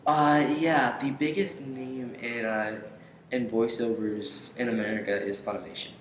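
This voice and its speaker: A young man giving casual interview answers in a hesitant, halting way, pausing often. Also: medium pitch, steady tone. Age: young adult. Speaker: interview guest, aspiring voice actor. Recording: echoey room with faint noise.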